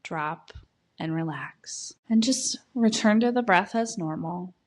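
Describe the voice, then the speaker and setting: A woman with a slightly low voice leads a guided meditation. She speaks very slowly and gently, in short phrases. Long pauses separate her soft instructions, so each one stands on its own.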